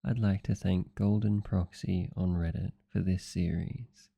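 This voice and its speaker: A man's voice: low voice, steady tone, measured pace, creaky, warm tone, breathy edge. A British man, an audio roleplay performer, speaking directly to his listeners in a sign-off. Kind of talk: closing thanks to listeners.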